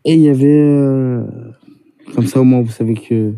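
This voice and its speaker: A man's voice: mid-range voice, steady tone, normal speed, warm tone, clear, resonant tone, no echo, clean studio sound. A man from Paris, arguing insistently in a heated casual debate and talking over the others.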